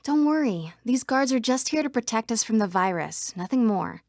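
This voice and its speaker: Picture a female computer program in a video game. Her voice is high and swings widely in pitch as she delivers scripted lines. The sound is dry, with no room around it. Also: expressive, normal speed, no room sound. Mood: reassuring. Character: female computer program citizens, by turns frightened, defiant or official.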